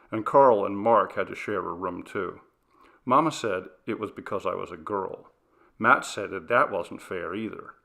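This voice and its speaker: A man narrates a story with a low, gravelly voice and a bright, forceful edge. His reading is dramatic and scripted, told in the first person. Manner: dramatic, acts out dialogue with shifts of pitch and volume. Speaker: story reader.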